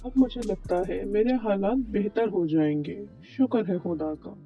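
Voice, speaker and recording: A man's voice: high voice, lively intonation, moderate pace, creaky, dark tone. A man playing a poor, worried, humble bread baker in an Urdu moral cartoon story, pleading and deferential. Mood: grateful, puzzled. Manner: pleading, deferential, talks to himself. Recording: muffled lo-fi track with music behind.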